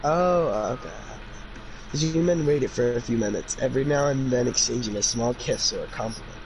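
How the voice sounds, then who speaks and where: A man's voice: medium pitch, normal speed, darkish tone, slight background noise, poor audio. A man doing a casual amateur fanfiction reading, with informal, opinionated commentary. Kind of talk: casual amateur read-aloud with chatty commentary.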